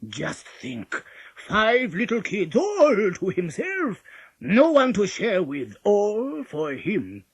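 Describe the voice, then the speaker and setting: A woman's voice: medium pitch, sing-song, unhurried, fairly bright tone, husky, no echo, pitched above the performer's own voice, exaggerated voice. A woman voicing a scheming, gullible male wolf from a storybook, harsh, emphatic and easily exasperated. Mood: gloating. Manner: blustering, plaintive, emphatic.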